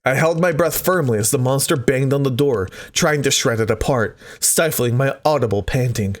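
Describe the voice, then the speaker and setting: A man's voice: mid-range voice, moderate pace. A man doing a let's play, dramatically reading visual novel text aloud and breaking off for joking asides. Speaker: gaming video host.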